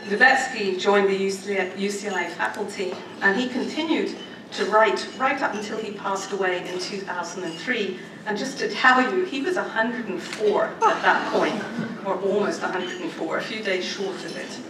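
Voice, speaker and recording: A middle-aged woman giving a formal prepared academic speech with informal asides, in a measured way that stresses listed points. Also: mid-range voice. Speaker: academic speaker at a department anniversary event. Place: medium-sized indoor lecture room with audience. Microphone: gooseneck podium microphone. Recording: roomy, distant microphone, faint background noise.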